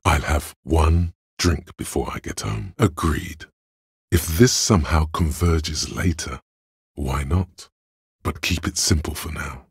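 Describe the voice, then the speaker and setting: An actor playing a detective's inner voice of logic in a video game, a grave, analytical man with a gravelly rasp and a deliberate, dry, detached delivery. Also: a bass voice, no echo, exaggerated voice.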